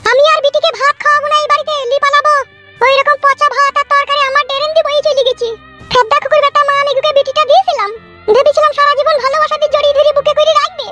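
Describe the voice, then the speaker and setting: A woman's voice: extremely high pitch, level tone, racing, music in the background. A woman voicing a furious, protective village mother in a cartoon comedy, scolding and cursing shrilly. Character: furious foul-mouthed village mother, fiercely protective of daughter.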